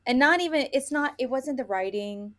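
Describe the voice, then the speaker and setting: A woman's voice: sits high, lively intonation, moderate pace, fairly bright tone. A woman co-hosting a casual book chat, speaking bluntly with an exasperated edge and some casual swearing. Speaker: podcast co-host reviewing romance books.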